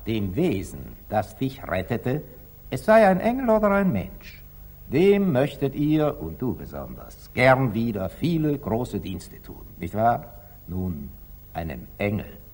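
A man's voice: medium pitch, huge pitch swings, slow pace, a little mellow, slightly rough, exaggerated voice. An actor playing a wise, loving, teasing middle-aged merchant father in a declamatory 1940s radio drama style. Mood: insistent. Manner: declamatory, rhetorical, questioning. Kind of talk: 1940s German radio drama stage acting.

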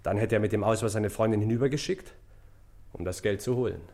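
A cornered crook played by a male actor, cynical and resigned, talks in a low voice. His delivery is terse and grudging, as in a vintage radio crime play. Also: fast talker, darkish tone, close to an everyday voice. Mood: resigned confession. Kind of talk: old German radio crime drama acting.

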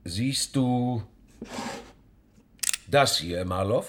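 An actor playing a gruff, hostile veteran police inspector in a noir radio drama, curt and scornful. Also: male voice, mid-range voice, lively intonation, extremely slow, fairly bright tone, a little hiss or hum. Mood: hostile, menacing.

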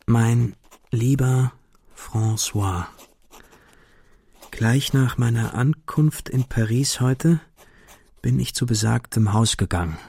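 A man's voice: medium pitch, slow pace, gravelly, pauses often, darkish tone, breathy edge, heavily acted. A man playing a lovelorn, melancholy young man, reading his letters aloud with brooding intimacy in a radio drama.

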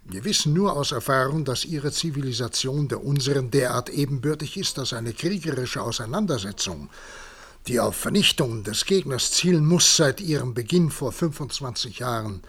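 An actor playing an authoritative president of a future world at war, speaking formally in a 1960s German radio drama. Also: male voice, mid-range voice, animated, moderate pace, fairly bright tone, husky. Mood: grave, resolute. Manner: formal, commanding.

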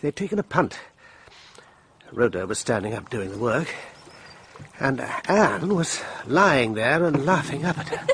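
An actor playing a gallant, direct British army major recounting events in a radio drama. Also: male voice, medium pitch, sing-song, unhurried, a bit bright. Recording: noisy, with clicks.

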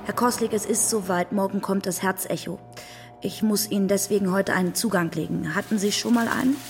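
A woman playing a hospital nurse, brisk and matter-of-fact. Her voice sits at a middle female pitch, naturally acted for radio drama, with music clearly playing behind. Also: close to an everyday voice.

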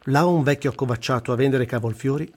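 A man's voice: mid-range voice, fast, slightly rough, lightly acted. A man playing a sardonic, weary but daring Italian war journalist in a theatrical radio reading of a first-person diary. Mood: grave, vivid narrating. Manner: theatrical, swinging from muttering to shouting. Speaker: voice actor reciting.

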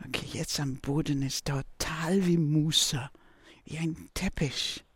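A man's voice: on the high side, fairly even intonation, slow, a little hiss or hum. A man chatting casually while out hiking.

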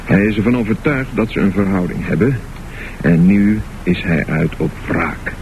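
A man narrating a scripted radio drama in measured, deliberate short phrases. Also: low voice. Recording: noisy, dull lo-fi radio recording.

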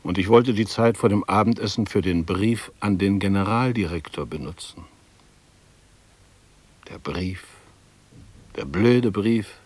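A man's voice: sits low, measured pace, pauses often, a little mellow, breathy edge, dry recording. An actor playing a fussy, fretful middle-aged male bank clerk thinking aloud in a radio drama, precise and ruminative. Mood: worried, fretful. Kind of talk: 1960s German radio drama monologue.

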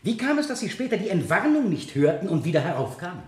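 A man's voice: high voice, big pitch swings, fast, darkish tone. Pushy male prosecutor, old radio drama, echoey room, voice swings up and down, really pointed questions. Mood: accusing, insistent. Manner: probing, pointed, theatrical courtroom questioning. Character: insistent male prosecutor cross-examining a defendant. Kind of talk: 1970 German radio play acting. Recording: echoey studio courtroom, older radio production.

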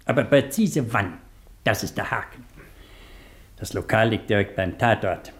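Radio play role: calculating, commanding inspector, fairly deep, measured and conspiratorial as he hands out orders. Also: lively intonation. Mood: pondering, doubtful. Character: calculating, ruthless male police inspector giving orders. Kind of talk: German radio play acting.

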